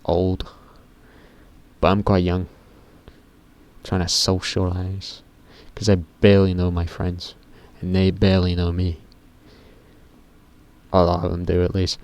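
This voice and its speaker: A teenage boy with a very deep voice, rambling casually to camera in a vlog, often stopping himself mid-sentence and swearing offhandedly. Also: monotone, slow pace, pauses often, darkish tone, clear, resonant tone. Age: teens. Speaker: YouTuber.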